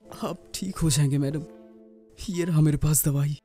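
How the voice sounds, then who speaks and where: Man acting a mellow, anguished young superhero. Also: on the high side, fairly even intonation, normal speed, soft background music, close to an everyday voice. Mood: sorrowful, gentle. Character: anguished young male superhero, gentle and worried. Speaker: amateur fan dubber. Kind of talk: dramatic game cinematic dub.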